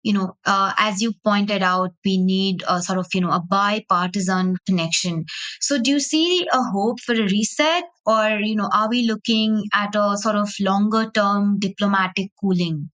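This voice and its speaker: A woman's voice: mid-range voice, moderate pace, a bit bright. A woman hosting a conversational interview, agreeing and summing up as she leads into questions. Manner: agreeable, summarizing before asking. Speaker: podcast host.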